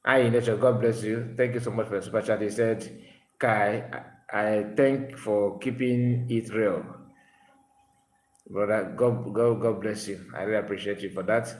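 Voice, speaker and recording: A man's voice: fairly low pitch, fairly even intonation, normal speed, darkish tone. A man, an Igbo speaker, hosting a live call-in stream and talking emphatically. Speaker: livestream host. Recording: roomy room sound, faint noise.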